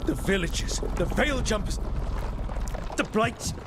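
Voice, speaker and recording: An actor playing a stern, guarded adventurer in a fantasy video game, terse and reproachful. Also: male voice, high-pitched, expressive, a little slow, heavy background noise. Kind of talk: dramatic video game acting.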